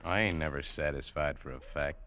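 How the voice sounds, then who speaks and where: An actor playing a folksy, grumbling veteran cavalry trooper with a rustic drawl in a 1950s radio drama. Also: male voice, rumbling low, a little fast, bright, forceful tone, muffled recording. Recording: old radio broadcast, faint noise.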